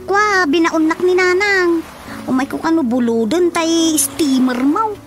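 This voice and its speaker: A woman's voice: very high-pitched, a little slow, a little hiss or hum. A Filipino woman with an Ilocano accent playing a polite, chirpy young village daughter in a radio drama comedy. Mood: polite, chatty. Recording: music behind the voice.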